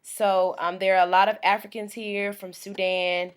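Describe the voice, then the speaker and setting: A woman's voice: mid-range voice, level tone, moderate pace, clean tone. A young American woman, chatting casually into a webcam in a small room, rambling with frequent ums. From United States. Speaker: vlogger. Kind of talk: casual vlog monologue. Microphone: webcam at close range.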